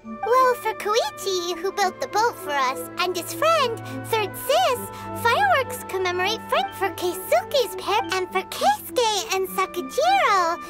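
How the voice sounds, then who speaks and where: A woman voicing a tiny, childlike fairy companion in a video game, eager, blunt and squeaky. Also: sing-song, a little slow, exaggerated voice. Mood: curious, chatty. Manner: squeaky, speaks of herself in third person. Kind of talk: English video game voice acting. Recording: loud tinkling game music underneath.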